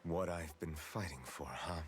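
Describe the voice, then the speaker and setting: Very deep, brooding young warrior. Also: male voice. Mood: pensive. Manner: solemn, measured, brooding. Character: guilt-ridden, earnest male fantasy warrior. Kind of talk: dramatic video game voice acting.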